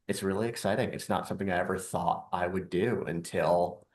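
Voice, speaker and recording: A man with a fairly low voice answers podcast questions as a guest author. He speaks softly and thoughtfully, often trailing off into quiet phrases. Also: steady tone, brisk, slight background noise. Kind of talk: casual interview answers.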